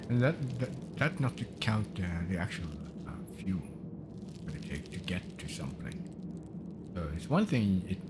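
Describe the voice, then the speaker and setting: Swedish man streaming over loud engine hum. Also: low voice. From Sweden. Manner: rambling, halting, explaining while playing. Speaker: game streamer. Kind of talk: casual live gameplay commentary. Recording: loud ship engine noise behind.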